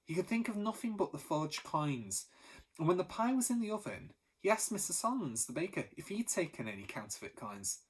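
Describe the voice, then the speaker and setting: A teacher reading a children's novel aloud with expressive storytelling. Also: male voice, high voice, a little fast, breathy edge.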